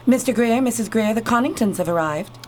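Deferential, animated housemaid played by a woman. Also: fairly high pitch, a little fast, close to an everyday voice. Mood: formal. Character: timid, deferential housemaid, easily dismayed. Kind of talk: radio play acting. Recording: faint background noise.

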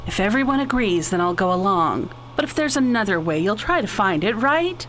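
Woman as anxious caring space heroine, earnest, lively pitch swings, radio space opera, light background noise. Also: brisk. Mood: concerned. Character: anxious, soft-hearted human woman space traveler.

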